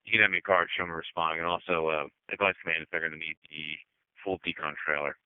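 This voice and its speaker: A man's voice: very deep voice, steady tone, quick pace, clear, resonant tone, dry recording. A man working as an emergency responder, giving terse messages over a two-way radio. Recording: tinny narrowband radio transmission.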